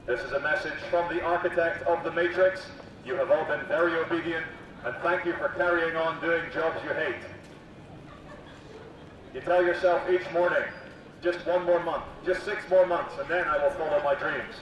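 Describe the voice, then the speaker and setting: A man's voice: on the high side, steady tone, normal speed, fairly bright tone, slight background noise, pitched above the performer's own voice. A man playing a mock authoritarian city announcer through a megaphone, preaching obedience in a satirical public address. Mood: mock-official, preachy. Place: outdoor city plaza. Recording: echoing megaphone outdoors, far off, muffled.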